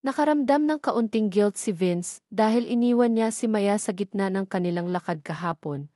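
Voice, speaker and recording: Female narrator for scripted romance audio stories, medium pitch, close dry recording. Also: darkish tone, no room sound.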